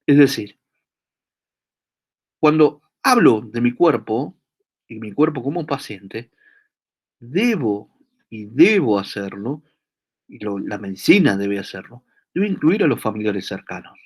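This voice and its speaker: A man's voice: mid-range voice, sing-song, measured pace, pauses often, slightly rough. A man with an Argentine accent answering questions as a guest lecturer in an academic seminar, speaking thoughtfully with hesitations and frequent tag questions, over a video call.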